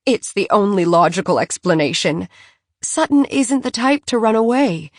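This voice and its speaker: A woman's voice: medium pitch, normal speed, dry recording, studio quality, pitched above the performer's own voice, close to an everyday voice. A woman reading an audiobook, voicing a genteel, fussy mother who talks in a prim, gushing and insistent way. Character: genteel fussy francophile mother, high-strung.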